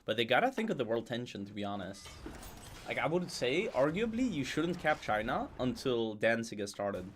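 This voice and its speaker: A man's voice: medium pitch, big pitch swings, moderate pace, a little hiss or hum. A man giving casual live commentary on a strategy game, thinking aloud as he goes.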